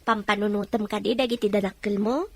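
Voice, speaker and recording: An actress playing a caring young woman in a radio drama, expressive and coaxing toward her best friend. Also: medium pitch, fairly quick, dry recording, natural voice. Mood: coaxing, concerned.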